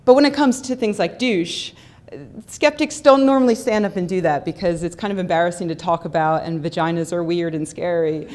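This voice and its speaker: A woman's voice: mid-range voice, a little mellow. Wry woman giving a comic talk on stage. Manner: sarcastic and wry, with frequent ums and repeated words. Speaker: public speaker. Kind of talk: humorous skeptical conference talk. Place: large lecture hall stage. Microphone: handheld or stand microphone.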